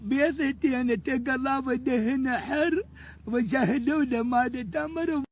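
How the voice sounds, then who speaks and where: A woman's voice: sits high, slow pace, slight background noise. A woman playing a coy, doubting sweetheart in a comic cartoon, with an Iraqi accent. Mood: playful. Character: coy, doubting sweetheart demanding proof of love.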